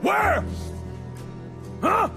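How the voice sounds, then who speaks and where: A man's voice: very high voice, expressive, extremely slow, gravelly, over music, full character voice. An actor playing a grim, relentless man out for revenge, terse and menacing, in a video game cutscene. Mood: demanding. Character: grim, relentless vengeful man interrogating gangsters.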